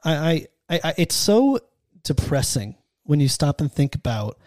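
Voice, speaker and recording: A man co-hosting a casual faith podcast, talking earnestly with halting restarts. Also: fairly high pitch, very animated, darkish tone, no room sound, clean studio sound. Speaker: podcast co-host.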